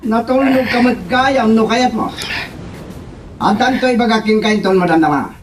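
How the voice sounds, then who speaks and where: Man playing a high-voiced, bossy young master. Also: normal speed, background noise, lightly acted. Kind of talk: Ilocano radio drama acting. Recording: distant mic over busy crowd sound.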